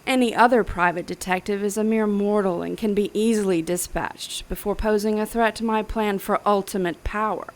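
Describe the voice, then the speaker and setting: A woman's voice: medium pitch, fairly even intonation, normal speed, hardly any pauses, lightly acted. An actress playing a smug, menacing female mad scientist in an audio drama thriller, speaking precisely and theatrically. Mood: gloating menace.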